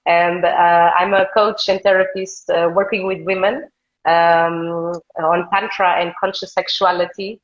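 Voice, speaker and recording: Halting Portuguese-accented woman host. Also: fairly low pitch, level tone, dull audio. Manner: halting, searching for words. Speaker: host, tantra coach. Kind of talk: live video conversation. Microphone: video call.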